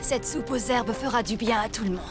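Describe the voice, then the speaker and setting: An actress playing a patriarch's caring, conciliatory wife in a dubbed animated Bible story, with a gentle, pleading manner. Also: female voice, on the high side, brisk, natural voice. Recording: music loud behind the voice.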